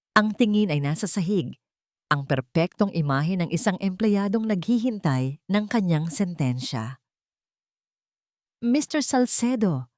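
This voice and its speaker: Female storyteller, Filipino accent, lower-pitched voice, dry close sound, for scripted romantic drama narration. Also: a little mellow, no room sound.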